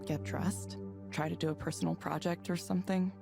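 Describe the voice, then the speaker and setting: An actress playing a withdrawn, disillusioned woman in her thirties in a visual novel game, speaking in a subdued, halting, introspective way. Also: on the low side, fairly even intonation, gravelly. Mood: melancholy. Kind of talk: visual novel voice acting, reflective monologue. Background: music.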